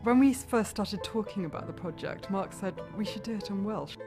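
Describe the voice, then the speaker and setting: A woman explains earnestly and steadily in a documentary interview about making a film. Her voice sits at a middle pitch. Instrumental music plays clearly underneath. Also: expressive, moderate pace, dark tone.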